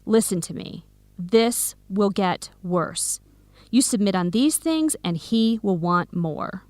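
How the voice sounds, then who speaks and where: An actress playing an outspoken, protective young best friend in an educational radio drama, speaking frankly and emphatically. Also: female voice, medium pitch, lively intonation, slow pace, darkish tone, no room sound. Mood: exasperated, insistent. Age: young adult.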